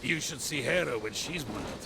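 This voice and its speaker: A man's voice: mid-range voice, animated, moderate pace, gravelly, noisy background. A man playing a boastful, flippant and emotional old god in a video game, chatty and pompous. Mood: mocking. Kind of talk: video game comic narration.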